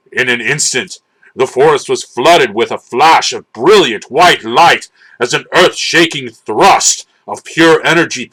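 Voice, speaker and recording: A man giving a dramatic, theatrical and deliberate scripted narration of a children's storybook. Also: mid-range voice, sharp tone, low-quality recording.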